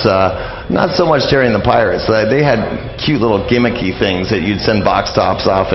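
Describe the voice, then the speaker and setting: A man being interviewed on the radio, explaining and reminiscing informally in a halting, anecdotal way. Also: lowish voice. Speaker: radio interview guest on old-time radio history. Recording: dull lo-fi radio, roomy, crowd behind.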